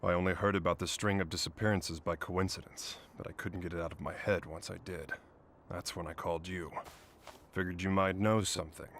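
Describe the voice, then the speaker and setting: An actor playing an earnest, dogged male investigator in a video game, speaking in a measured, matter-of-fact way. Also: low-pitched, steady tone, a bit bright, slight background noise. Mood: serious, reflective. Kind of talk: English video game dub dialogue and narration.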